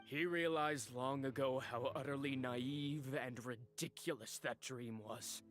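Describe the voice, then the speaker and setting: A man's voice: mid-range voice, fairly even intonation, unhurried, a bit bright, soft background music, close to an everyday voice. An actor playing a bitter, scornful male rival designer in a game, sneering and mocking. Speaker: game character.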